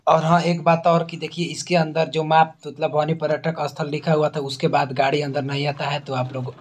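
A man's voice: a little high, level tone, a little fast. A man casually explaining the site and giving advice to visitors while he is outdoors. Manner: informative, gives visitors advice. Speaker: vlog narrator. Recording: noisy outdoor phone recording.

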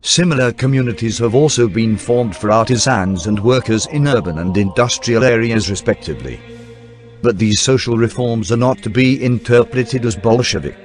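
A man reading scripted documentary narration in a formal, measured newsreel style. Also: mid-range voice. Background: background music.

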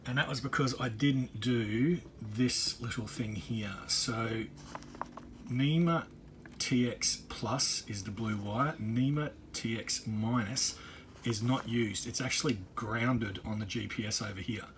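Male, middle-aged, mid-pitched voice, informal hands-on tutorial delivery with restarts, handheld phone sound with clear ambient noise. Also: slow, a bit bright. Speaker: boat owner giving a DIY walkthrough. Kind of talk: casual off-the-cuff tutorial. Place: on a boat.

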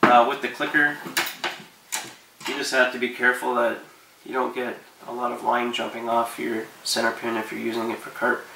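Gravelly guy in his 30s, bright voice, talking loose with lots of ums, roomy echo, mic a bit far. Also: mid-range voice, moderate pace, slight background noise. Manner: relaxed, rambling, many fillers. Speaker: fishing vlogger. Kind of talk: casual advice to camera. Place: living room, seated on couch.

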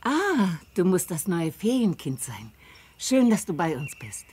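A woman's voice: on the low side, sing-song, measured pace, a little mellow, husky, dry recording, full character voice. A woman voicing a caring, welcoming middle-aged farm mother who explains things in a video game. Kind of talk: scripted video game dialogue.

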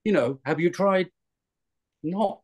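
Man on a video call, slow, higher voice, amused and stumbling. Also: a little mellow. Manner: amused, faltering, chuckling. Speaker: script reading panelist. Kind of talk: casual video-call discussion.

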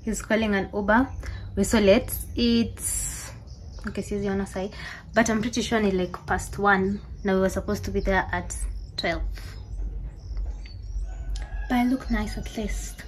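A woman's voice: medium pitch, steady tone, moderate pace, pauses often. A young woman with a Kenyan accent chatting casually and informally to a handheld camera as she vlogs, slipping between two languages in slangy speech. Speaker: vlogger. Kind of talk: casual vlog chat, mixing English and Swahili. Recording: noisy handheld vlog, car and room ambience.

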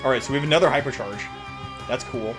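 An excitable young man giving casual, profane, slangy gameplay commentary, repeating himself as he goes. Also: mid-range voice, fast. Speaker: gaming YouTuber. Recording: music behind the voice.

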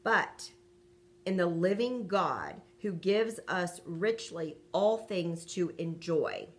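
A woman's voice: fairly low pitch, lively intonation, slow. A middle-aged woman co-hosting a casual devotional talk and reading scripture in a conversational, affirming manner. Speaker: co-host and scripture reader. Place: furnished room at home.

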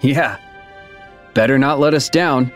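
A man's voice: medium pitch, slow, close to an everyday voice. Man acting composed teen hero, mid-range voice, serious, video game drama, faint music. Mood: dry. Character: serious, composed teenage male warrior.

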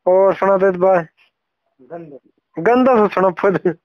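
High-voiced man on a dull phone recording. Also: takes it slowly. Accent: Mewati. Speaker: caller asking for songs. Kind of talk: casual phone chat.

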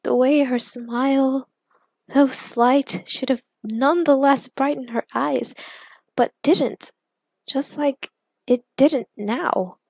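Woman narrating fan fiction, high voice, takes it slow, really dry sound. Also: fairly even intonation, creaky, a little mellow, no room sound. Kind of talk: scripted story narration.

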